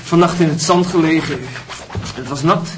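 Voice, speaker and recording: An actor playing a young male medical student, dutiful and evasive, speaking haltingly in a radio drama. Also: a little high, slight background noise, close to an everyday voice. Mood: casual, defensive. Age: young adult. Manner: halting, hesitant delivery.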